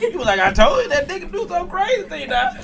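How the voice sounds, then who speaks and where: A young man co-hosting a raunchy comedy podcast in a small studio, loud and laughing as he acts out quoted voices. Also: extremely high pitch, a little fast, gravelly. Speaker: co-host. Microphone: studio mic with headphones. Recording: lively crowd of voices behind.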